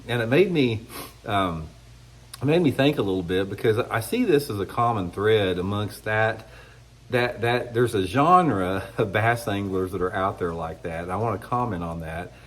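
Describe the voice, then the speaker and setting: Midwestern man, middle-aged, congested, fairly low voice; casual blunt talk to camera with frequent restarts. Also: lively intonation, normal speed, fairly bright tone. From United States. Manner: rambling, blunt, restarts often. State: congested from a cold. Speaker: fishing channel host. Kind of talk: casual opinion monologue to camera.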